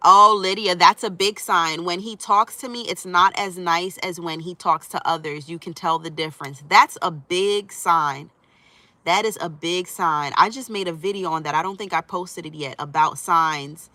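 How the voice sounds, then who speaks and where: Stuffy-nosed relationship coach, bright voice. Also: female voice, lowish voice, moderate pace, no room sound. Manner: reassuring, conversational, frequent "right?" and "you know". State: stuffy from a cold. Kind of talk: live stream chat reading viewer comments.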